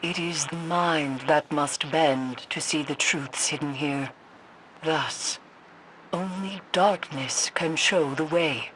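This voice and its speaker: A woman playing a grave, cryptic seer in a video game, speaking solemnly and deliberately with an ominous manner. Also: low-pitched, a bit bright, slight background noise, poor audio. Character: grave, cryptic female seer of dark magic. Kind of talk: video game character acting.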